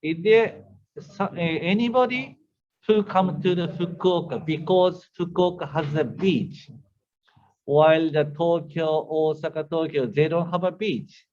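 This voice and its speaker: A man asking the panel a question in a halting way, repeating words as he searches for them. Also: fairly high pitch, a little mellow. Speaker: audience questioner. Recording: dull lo-fi sound.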